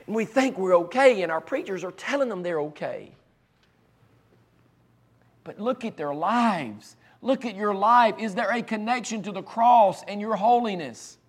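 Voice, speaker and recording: Male pastor, high and raspy, fervent, with repeated emphatic lines. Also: a bit bright. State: raspy voice from cheering and allergies. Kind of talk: preaching a sermon.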